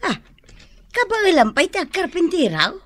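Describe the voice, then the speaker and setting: A woman's voice: very high-pitched, big pitch swings, normal speed, fairly bright tone, full character voice. A woman with a Filipino accent playing a skeptical but fond older mother in a radio comedy drama. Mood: puzzled. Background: music.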